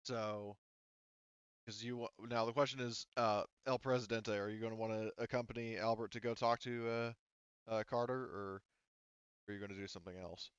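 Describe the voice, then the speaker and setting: A man runs a tabletop game in a casual, conversational way. His voice is on the low side and clean, with a dry, slightly muffled lo-fi sound. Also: fairly even intonation, moderate pace, smooth, no echo. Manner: informal, conversational. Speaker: game master.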